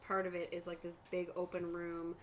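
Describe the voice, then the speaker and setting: A woman's voice: lowish voice, monotone, moderate pace. A woman casually describing things to a group as game master of a tabletop roleplaying game. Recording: faint background noise.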